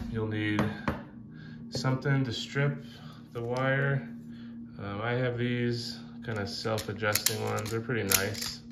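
Relaxed Southern man with um-filled talk. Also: fairly low pitch. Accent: Southern American. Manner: relaxed, many ums and uhs. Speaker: fishing channel host. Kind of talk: casual how-to walkthrough. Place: indoor workshop bench. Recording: loud guitar music behind.

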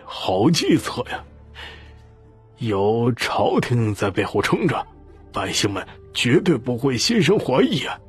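A man's voice: mid-range voice, very animated, takes it slowly, breathy edge, soft background music, exaggerated voice. A man voicing eager old followers in an audiobook, admiring and exclamatory in manner. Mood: delighted.

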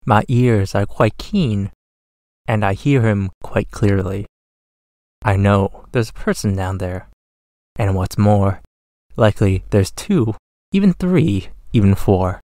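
A big storybook elephant, played by a man, sincere and protective, with a lowish voice. He pleads earnestly in rhyme and takes his time over it, in a dry, close recording. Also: lively intonation, slow, dark tone, no room sound. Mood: earnest pleading. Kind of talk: rhyming storybook character reading.

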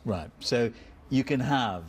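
A middle-aged man interviewing an author on a festival stage, probing and halting, restarting his questions. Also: medium pitch, expressive, slight background noise. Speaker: interviewer host. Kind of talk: broadcast interview. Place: festival stage before a large audience.

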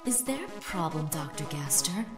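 A motherly cartoon monster queen played by a woman, slightly low-pitched, formal and composed, gentle but firm. Her words carry a long reverb over faint music. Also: very animated, normal speed. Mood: concerned. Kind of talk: animated comic dub acting.

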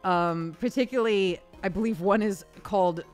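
A woman voice actor hosting a casual question-and-answer chat in an expressive, conversational way. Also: mid-range voice, normal speed, smooth, faint music behind.

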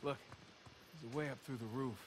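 An actor playing a wry treasure-hunting adventurer in a video game, conversational and natural. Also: male voice, mid-range voice, fairly even intonation, brisk, natural voice. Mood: urgent, practical. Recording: loud game noise over the dialogue.

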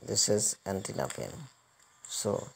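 A man giving step-by-step hands-on repair instructions in a halting, repetitive way. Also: on the low side, big pitch swings, vocal fry, fairly bright tone, slight background noise. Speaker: repair tutorial presenter.

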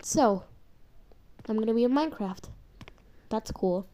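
High-pitched excitable cartoon kid played by a woman. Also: unhurried. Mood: casual. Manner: repetitive, slangy, sweary. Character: excitable, bratty young stick-figure son obsessed with games. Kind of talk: comedic amateur cartoon fan dub.

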